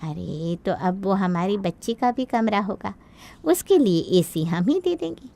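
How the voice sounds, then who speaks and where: A woman's voice: medium pitch, very animated, measured pace, gravelly, mellow. A woman voicing an earnest middle-aged mother of a bride, eager to please, obliging and insistent, in a Hindi cartoon story. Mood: insistent, reassuring.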